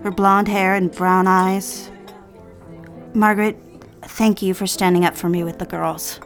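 A woman's voice: medium pitch, flat delivery, unhurried, soft background music, close to an everyday voice. A woman playing an anxious, proper young woman who is cautious but placating, in an audio drama. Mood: guarded, uneasy.

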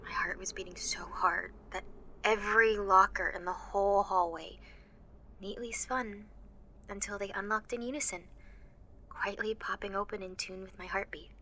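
An anxious teenage girl with superpowers , played by a woman in an audio drama. Her voice is bright and keen, and she confides in a halting, self-deprecating way. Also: medium pitch, close to an everyday voice. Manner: confiding, halting, with nervous laughs and sighs. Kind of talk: first-person audio drama narration and dialogue.

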